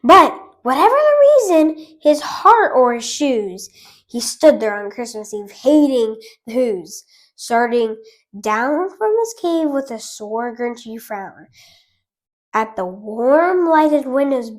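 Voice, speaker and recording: Storytime host, woman; friendly chatty read-aloud, slow delivery, high voice. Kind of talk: reading a picture book aloud.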